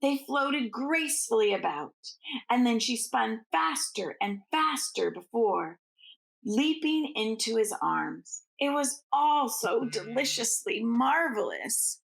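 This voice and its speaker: A woman's voice: fairly high pitch, expressive, takes it slowly, creaky, poor audio. A woman reading a storybook aloud to children like a schoolteacher, in an informal way. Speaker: teacher reading aloud to students.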